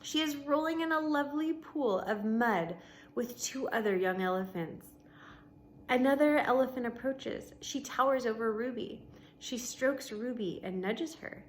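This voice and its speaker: A woman with a fairly high voice reads a children's story aloud in the manner of a schoolteacher. She is expressive, pauses deliberately for drama and slips into casual explanation. A faint noise sits behind her in the recording. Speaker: teacher reading aloud to pupils. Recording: noticeable background noise.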